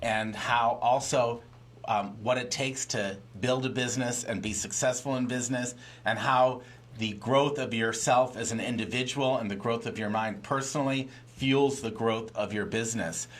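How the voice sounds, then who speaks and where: A man's voice: medium pitch, fairly even intonation, normal speed. A man co-hosting a live podcast, talking earnestly about growth and accountability. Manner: earnest, motivational. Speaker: podcast co-host. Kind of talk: casual live podcast chat.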